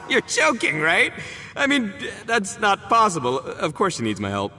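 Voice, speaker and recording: An actor voicing a boastful, rowdy male oni gang boss in a video game, brash and quick to laugh. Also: high voice, wildly swooping pitch, full character voice. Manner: brash, loud, laughs a lot. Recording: game audio with long reverb.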